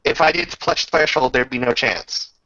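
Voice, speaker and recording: A man speaking as game master in a casual tabletop roleplaying session, halting and muttering through rules and dice. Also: mid-range voice, pushed and bright, no room sound. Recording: dull lo-fi recording.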